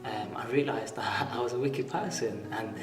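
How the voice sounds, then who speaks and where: A man in his twenties giving an earnest, measured church testimony with frequent pauses. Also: mid-range voice. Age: 20s. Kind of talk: testimony interview. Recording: echoey hall, mic far off, music behind.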